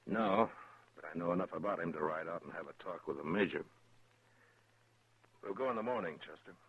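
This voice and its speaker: Casting: laconic American frontier marshal, very husky low voice, steady and watchful, vintage radio western. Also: male voice. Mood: thoughtful, resolved. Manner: laconic, measured, plain-spoken. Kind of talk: 1950s radio drama acting. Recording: muffled vintage 1950s radio recording.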